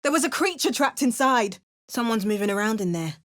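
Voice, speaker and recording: A woman's voice: a little high, lively intonation, fairly quick, close to an everyday voice. A woman voicing a wry, dramatic female adventurer hero in an exaggerated mock Cockney accent, with hammy, theatrical spell chants. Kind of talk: parody game voice lines.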